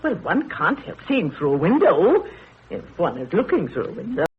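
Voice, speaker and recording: An actress playing a gossipy, bossy village woman in 1930s radio drama, brisk and bantering, on a tinny old broadcast. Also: lowish voice, sing-song, noisy background. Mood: nosy, defensive.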